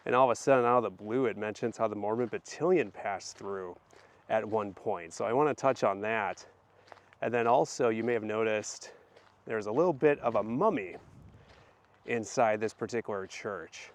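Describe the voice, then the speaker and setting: A man hosting a casual walk-and-talk vlog, his voice hoarse and deepened by a recent illness, rambling with many fillers and restarts. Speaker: channel host.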